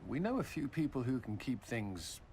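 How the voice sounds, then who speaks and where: An actor playing a devoted military commander who speaks earnestly and a little bashfully. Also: male voice, medium pitch, big pitch swings, moderate pace, slight background noise. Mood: tender. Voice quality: warm, earnest, slightly bashful. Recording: game scene with music behind.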